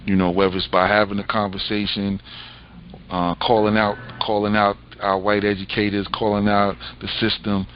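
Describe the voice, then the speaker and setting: A man co-hosting an interview, speaking earnestly in a halting way with many restarts. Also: fairly low pitch, monotone. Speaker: co-host. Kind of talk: casual interview chat. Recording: dull lo-fi sound with faint noise.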